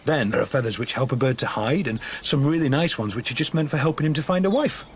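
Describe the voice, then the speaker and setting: A man's voice: mid-range voice, quick pace, close to an everyday voice. An actor playing a kind, playful middle-aged father and birdwatcher in a naturalistic radio drama. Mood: gentle, frank. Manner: gentle, plain-spoken, teasing. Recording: clearly noisy outdoor ambience with birdsong.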